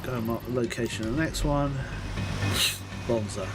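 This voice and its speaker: A man's voice: mid-range voice, moderate pace, heavy background noise. A man with a runny, snotty nose giving casual, sweary, self-deprecating commentary as he live-streams a video game. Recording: loud music behind the voice. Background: loud game music and vehicle sounds.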